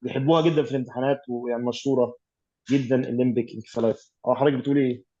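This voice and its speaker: Fast-talking Egyptian man teaching radiology. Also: mid-range voice, fairly even intonation, mellow, highs cut off. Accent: Egyptian Arabic. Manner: explanatory, mixes English medical terms, many fillers. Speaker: radiology tutor. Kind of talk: online exam revision teaching.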